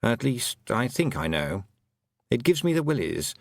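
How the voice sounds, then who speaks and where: Man reading as a nervous, rambling storybook toad, lively voice, dry close mic. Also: medium pitch, unhurried, vocal fry, dry recording. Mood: fretful. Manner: rambling, fretful musing. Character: anxious talking toad, once a man.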